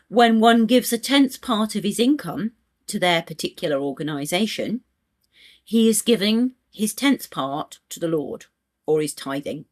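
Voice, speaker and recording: Low-voiced woman, slow expressive reading. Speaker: audiobook reader. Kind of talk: scripted narration of a spiritual text.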